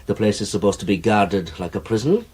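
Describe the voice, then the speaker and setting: An actor playing a calm, reassuring British man in a radio drama, reasoning things out matter-of-factly. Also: lowish voice, a little fast, breathy edge. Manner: matter-of-fact, explanatory. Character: calm, reassuring, reasoning male amateur investigator friend.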